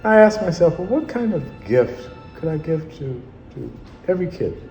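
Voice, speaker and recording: Man, highish mellow voice, lively intonation, motivational kids' coach, deliberate phrasing, echoey room. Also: creaky. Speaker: children's mindset coach. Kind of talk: motivational address to children. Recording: dull, echoey room sound. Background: background music.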